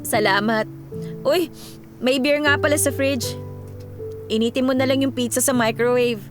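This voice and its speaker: High-voiced, devoted young Filipina played by an actress. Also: female voice, fairly even intonation, normal speed, over music, lightly acted. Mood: warm, caring. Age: young adult. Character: devoted, hardworking young Filipina migrant worker, encouraging best friend. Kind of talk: radio drama acting.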